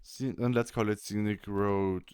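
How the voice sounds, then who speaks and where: A man narrating a software tutorial, explaining things step by step. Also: fairly low pitch, fairly even intonation, unhurried, clean tone. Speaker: tutorial host. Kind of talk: software tutorial narration over screen recording.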